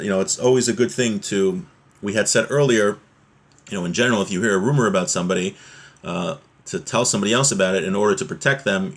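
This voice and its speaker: A man teaching a religious text, reading passages aloud and explaining them in an informal, plain-spoken way. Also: on the low side.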